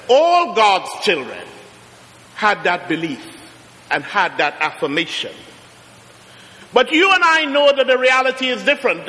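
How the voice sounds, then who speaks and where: A middle-aged man from Trinidad with a Trinidadian accent, preaching a sermon as a guest preacher, slowing down and rising to emphatic peaks. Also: high voice, bright, forceful tone. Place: large church. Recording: long church reverb.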